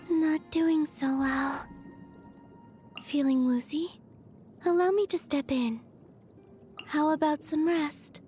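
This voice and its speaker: A woman voicing a serene, otherworldly young moon maiden from a video game, soft and breathy, with a gentle, naive curiosity. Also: high voice. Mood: faint, weary. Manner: slow, dreamy, innocently curious. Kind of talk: video game character voice lines.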